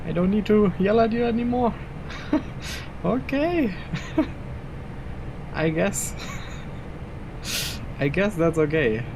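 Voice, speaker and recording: A man's voice: high voice, big pitch swings, slow pace, creaky, pauses often, background noise. A young man, a native German speaker, chatting casually in English through a flight sim session at his home PC, rambling and chuckling between thoughts. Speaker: flight simulator streamer. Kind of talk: casual gameplay commentary.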